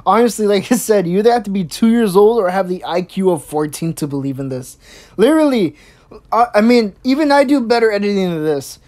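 Woman doing snarky reaction commentary, swears a lot, mid-pitched casual voice. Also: animated, brisk, darkish tone. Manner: sarcastic, swears a lot, mimics in squeaky voices. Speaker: host.

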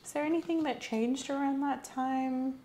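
A young adult woman speaking softly and reassuringly as she questions a patient in an ASMR medical roleplay. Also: high voice, fairly even intonation, normal speed. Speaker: host playing a nurse in a roleplay. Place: small indoor room set up as an exam room.

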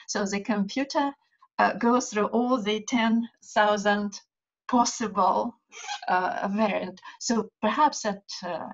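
Older woman with a Russian accent, measured and reflective, on a video call; audio slightly muffled. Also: medium pitch. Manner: measured, thoughtful, many hesitations. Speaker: writer and translator. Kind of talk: conversation over video call. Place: home study with bookshelves.

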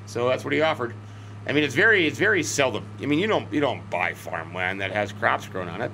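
A middle-aged man with a slightly hoarse, scratchy voice, rambling folksy commentary as he plays a farming game. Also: medium pitch, a bit bright. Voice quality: scratchy, cracky throat. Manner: rambling, folksy, chatty asides. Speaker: let's play host.

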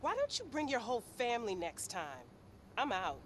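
A woman's voice: on the high side, huge pitch swings, moderate pace, fairly bright tone, a little hiss or hum. A woman playing a hostile, foul-mouthed arms dealer in a video game cutscene, suspicious, sarcastic and confrontational. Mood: sarcastic contempt.

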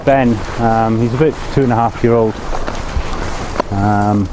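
A man's voice: on the low side, fairly even intonation, unhurried. A young man, a search dog handler, speaking hesitantly with fillers outdoors in a field. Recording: outdoor noise.

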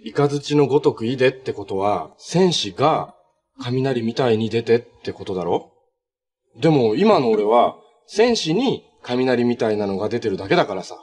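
A man's voice: mid-range voice, a little mellow, husky. An actor playing a cheerful, earnest young male superhero in a live-action TV drama, with a casual, friendly way of talking. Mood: thoughtful, casual. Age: young adult.

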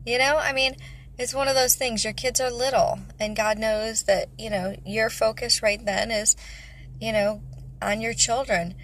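A woman's voice: a little high, fairly even intonation, measured pace, a bit bright, slight background noise, rough recording. A woman in her thirties, a mother, chatting casually about faith and family into a phone in her car, rambling with many fillers. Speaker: vlogger, mother of five. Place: parked car, indoors of vehicle. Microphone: phone camera at arm's length.

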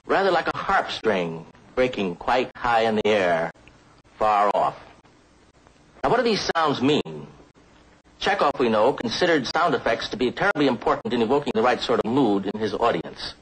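An older man presenting an educational film in a deliberate, scripted lecture with dramatic emphasis. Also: medium pitch, big pitch swings, fairly bright tone, slightly rough, rough recording. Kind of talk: measured scripted lecture to camera.

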